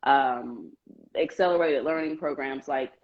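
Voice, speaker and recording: A woman's voice: a bass voice, a little slow, muffled recording. A young woman being interviewed as a guest over a video call, telling her story earnestly with frequent "um"s and "you know"s. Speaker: guest, Air Force member. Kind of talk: casual interview chat.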